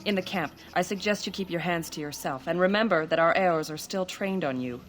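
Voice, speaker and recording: A woman plays a stern elven guard in a fantasy game. Her voice is fairly low, her delivery curt, guarded and formal, with audible ambience in the background. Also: fairly quick, slight background noise, natural voice. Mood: suspicious. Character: wary, stern female elven forest sentry. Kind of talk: fantasy video game dialogue acting.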